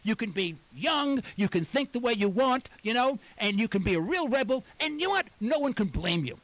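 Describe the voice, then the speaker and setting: A man's voice: very high voice, big pitch swings, normal speed, fairly bright tone, no echo. A man in his late sixties hosting a talk radio show, delivering an emphatic monologue and repeating words for stress. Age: 60s.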